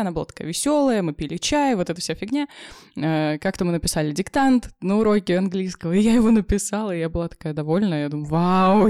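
A young woman, an English teacher, telling her story in a casual chat, with many fillers and quick laughs. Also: fairly low pitch, warm tone, dry recording, clean studio sound. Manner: fast, chatty, lots of fillers and laughs.

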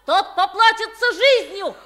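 High, bright-voiced earnest young boy. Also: lively intonation, unhurried, heavily acted. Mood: excited, earnest. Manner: theatrical, earnest. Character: good-hearted pauper boy posing as king. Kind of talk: Soviet radio play acting.

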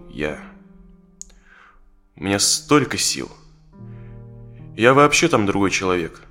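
A conflicted married professional of about forty in an audio play. His voice is on the low side, hesitant and confessional, with natural phrasing over soft plucked guitar music. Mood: earnest, conflicted. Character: conflicted married dentist of forty, confiding in a therapist. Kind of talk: naturalistic audio drama dialogue.

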